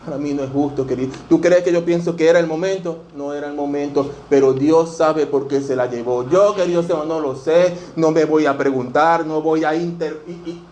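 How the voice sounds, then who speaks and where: A man's voice: on the high side, fairly even intonation, fairly quick, hardly any pauses, a little mellow. A man in his fifties with a Venezuelan accent, preaching a sermon in earnest and addressing his congregation again and again as dear brothers. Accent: Venezuelan Spanish. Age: 50s. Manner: earnest, fills every phrase with "dear brothers". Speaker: pastor. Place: church sanctuary. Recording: echoey church room, faint noise.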